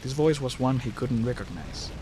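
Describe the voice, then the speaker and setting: A man's voice: mid-range voice, sing-song, normal speed, noisy background. A man narrating a scripted horror story as the narrator, recorded close to the mic.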